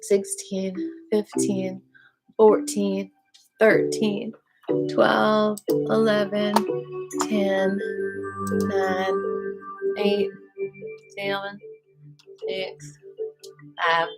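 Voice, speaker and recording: Casting: woman, qigong teacher, playful and chatty, counts out loud, slips into whispers, music behind her. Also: mid-range voice, flat delivery, very slow, vocal fry, long pauses, low-quality recording. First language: Hebrew. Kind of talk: guided qigong class, live online. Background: background music.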